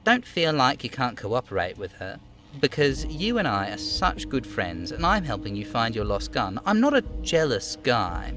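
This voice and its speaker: A man voicing an overweight union boss in a video game, falsely chummy, smooth and theatrically indignant. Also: medium pitch, sing-song, moderate pace, over music, close to an everyday voice. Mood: smug, chummy. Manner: smooth, theatrical, over-familiar.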